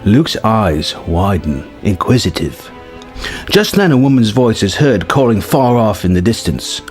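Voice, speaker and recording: A man's voice: on the low side, a little mellow. A man narrating a scripted story in a dramatic reading style.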